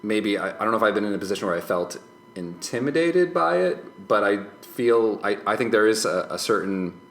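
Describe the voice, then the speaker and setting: A man's voice: lowish voice, sing-song, brisk. A man chatting casually as a podcast guest, thoughtful and tentative, rambling as he works out his answers. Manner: hesitant, tentative, rambling with hedged phrasing. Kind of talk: casual reflective chat. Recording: roomy echo, faint background noise.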